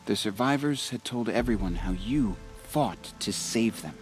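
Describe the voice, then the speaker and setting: Gentle, earnest young tribesman over music. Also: fairly low pitch, animated, unhurried. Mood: sincere, subdued. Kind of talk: video game cutscene acting.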